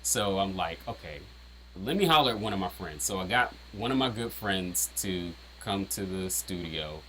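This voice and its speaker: A man with a deep voice casually breaking down his own rap lyrics, rambling with lots of "um" and "you know". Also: animated, moderate pace, fairly bright tone, slight background noise, poor audio. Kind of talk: casual explanatory chat.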